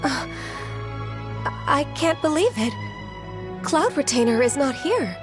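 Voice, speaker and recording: A young immortal woman from a fantasy video game, earnest and dutiful. The voice sits high and gentle, with polite, measured, slightly hesitant phrasing. Faint music runs underneath. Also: sing-song, exaggerated voice. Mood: dismayed. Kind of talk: video game character acting.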